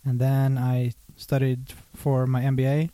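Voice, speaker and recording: A man's voice: medium pitch, measured pace, no echo. A man in his thirties from Norway, a native Norwegian speaker, talking casually as a podcast guest with many fillers. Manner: relaxed, hesitant, many fillers. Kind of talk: casual interview talk.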